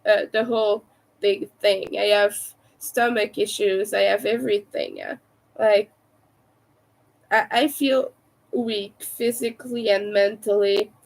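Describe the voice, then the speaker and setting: A woman with a raspy, soft-spoken voice, sick and low on energy, chatting casually and hesitantly on a livestream. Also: fairly even intonation, a little slow, rough recording. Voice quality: raspy from vocal cord damage. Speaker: livestream host, crafter.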